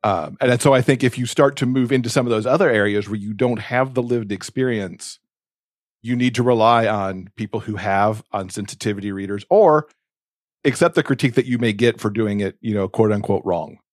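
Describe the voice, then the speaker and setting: A man with a fairly low voice co-hosts a podcast. He talks in a conversational, discussion style. The sound is dry, with hardly any room in it. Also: fairly quick, dry recording. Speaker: podcast co-host.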